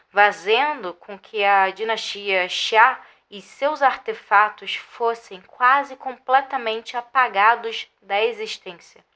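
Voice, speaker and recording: A woman plays a female researcher with a Brazilian Portuguese accent, delivering a formal report in an audio drama. Her voice sits a little high and has a clear-cut, bright edge. The reading is measured and scripted, like findings presented to colleagues. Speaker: narrator. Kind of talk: scripted narration of a scientific report.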